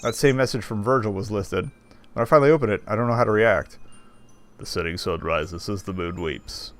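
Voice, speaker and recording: A man's voice: lowish voice, a little fast. A man reading game text aloud and adding wry, casual commentary as a streamer.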